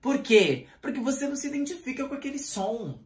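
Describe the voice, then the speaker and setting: Guy teaching singing online, super animated, keeps asking the viewer questions, echoey room. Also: very high-pitched, a little fast. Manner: animated, talks straight to viewers with rhetorical questions. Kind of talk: online voice lesson. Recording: roomy echo.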